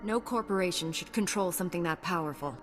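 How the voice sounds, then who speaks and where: A woman playing a calm, resolute Jedi knight heroine in a game, measured and firm. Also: lowish voice, faint music behind, close to an everyday voice.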